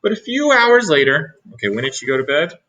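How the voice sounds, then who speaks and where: A man reading a picture book aloud as a teacher, pausing for asides to young listeners. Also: on the high side, sing-song, pushed and bright, rough recording. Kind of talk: read-aloud storytelling.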